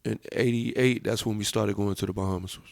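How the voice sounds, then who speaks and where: A man chats on a podcast, telling his stories in a relaxed, drawn-out way. His voice is low and gravelly, with plenty of fry. The sound is close and dry. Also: dry recording. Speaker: podcast co-host, sound system operator. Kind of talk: casual podcast chat.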